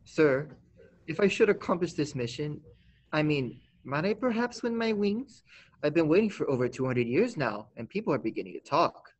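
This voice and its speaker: A man's voice: fairly high pitch, big pitch swings, normal speed, rough recording. A teenage boy playing an eager, fussy, naive old apprentice angel in a staged radio play. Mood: eager, flustered.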